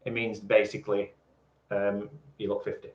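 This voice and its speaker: A man's voice: on the low side, monotone, moderate pace, darkish tone. A man in his forties co-hosting a live stream, teasing his partner in casual banter and reading out viewer comments. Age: 40s. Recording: echoey, slightly dull live stream sound.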